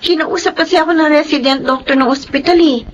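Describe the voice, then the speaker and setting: A gentle young Filipino woman doctor, voiced by an actress for radio drama. Her high voice is soft-hearted and hesitant, on a lo-fi recording with faint noise. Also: a little fast, close to an everyday voice. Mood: curious, pleased. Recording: dull lo-fi sound, faint noise.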